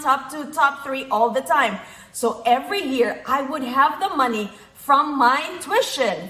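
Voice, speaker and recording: High-pitched Filipino woman, echoey room. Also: pushed and bright. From Philippines. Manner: emphatic, repeats key phrases for effect. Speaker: motivational speaker, physician and investor. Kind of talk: motivational talk with numbered points.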